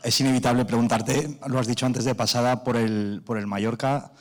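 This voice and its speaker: A man's voice: medium pitch, steady tone. Distant male journalist asking a question. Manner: halting, rephrasing as he asks. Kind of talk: press conference question.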